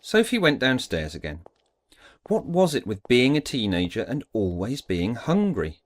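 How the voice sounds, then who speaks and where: A man's voice: medium pitch, very animated, normal speed, slight background noise. A man giving a scripted, dramatic narration of an audiobook story.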